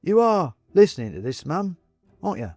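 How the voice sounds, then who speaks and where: Man voicing a high, lively, nostalgic old-time china gentleman. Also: moderate pace, dry recording, soft background music, exaggerated voice. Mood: reminiscing. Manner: eager, savouring, folksy old-fashioned talk. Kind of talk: storybook character voice in audiobook.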